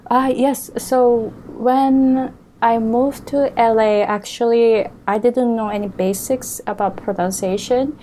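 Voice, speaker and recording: A woman's voice: on the high side, fairly even intonation, slow pace, few pauses, dark tone, a little hiss or hum. A woman whose first language is Japanese, a pronunciation coach speaking in a casual, earnest podcast interview with frequent fillers and laughs. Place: Hawaii.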